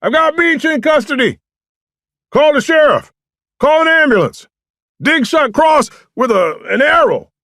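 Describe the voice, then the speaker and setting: A man voicing an urgent state police detective in an audiobook, calling out loudly in a strained voice. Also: very high-pitched, lively intonation, measured pace, no echo, studio quality, voice pushed up, exaggerated voice. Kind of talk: audiobook character acting.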